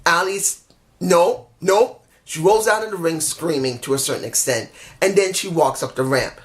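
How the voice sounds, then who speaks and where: Hoarse man ranting a wrestling review. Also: fairly high pitch, normal speed. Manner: candid, rambling, frustrated, frequent restarts. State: losing his voice. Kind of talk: casual opinionated review.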